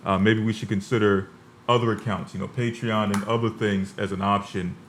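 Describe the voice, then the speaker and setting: A man hosts a call-in talk show, introducing callers and answering them at an even, measured pace. His voice sits somewhat low, with faint background hiss under it. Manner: measured, agreeable, hesitant fillers. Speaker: host.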